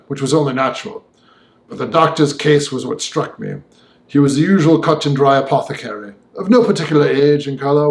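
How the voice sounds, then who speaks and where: A man reading as a gentleman who recounts a disturbing tale in vivid, old-fashioned, emphatic speech. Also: fairly high pitch, reverberant, lightly acted. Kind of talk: audiobook dialogue monologue.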